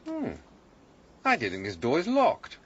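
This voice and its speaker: A middle-aged English gentleman scholar in a radio play, dry and reluctant. His wry delivery moves freely up and down in pitch. The recording is slightly muffled with faint background noise. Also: wildly swooping pitch, unhurried, full character voice. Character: dry, sardonic, reluctant gentleman historian neighbour. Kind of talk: radio drama acting.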